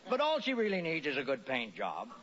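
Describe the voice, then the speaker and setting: Animated folksy neighbor on noisy old radio. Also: male voice, fairly high pitch, a little fast, lo-fi sound. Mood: matter-of-fact. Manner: folksy, casual, reasonable. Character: affable, matter-of-fact suburban husband and neighbor. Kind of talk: 1940s radio comedy acting.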